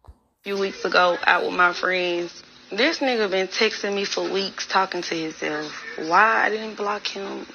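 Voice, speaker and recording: Gravelly brash woman telling a story. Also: mid-range voice, a little slow, pushed and bright, lo-fi sound, slight background noise. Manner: brash, profane, offhand. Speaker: woman in a social media clip. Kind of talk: casual storytelling to camera.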